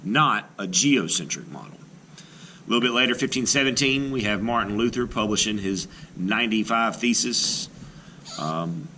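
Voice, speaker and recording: A man's voice: medium pitch, slight background noise, low-quality recording. A man teaching art, reading a painter's biography aloud and then walking through a timeline with casual asides. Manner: lively, emphatic read-aloud delivery with asides.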